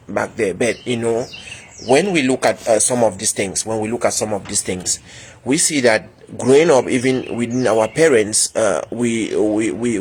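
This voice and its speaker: A man's voice: lowish voice, a little hiss or hum. A middle-aged man, a medical instructor, giving a health talk with many fillers and repeated words. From Cameroon.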